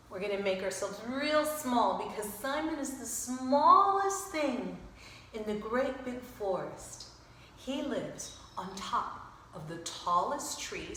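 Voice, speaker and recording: Echoey room, woman, children's storyteller, very slow pace, expressive, guiding listeners through movements. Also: mid-range voice. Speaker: storyteller, author reciting own story. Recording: roomy echo, faint background noise.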